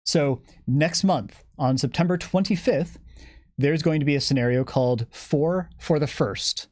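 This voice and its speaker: A man's voice: a little high, measured pace, darkish tone, no echo. A man speaking as a guest on a live stream, explaining things in a detailed, methodical way. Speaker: guest game developer giving campaign updates.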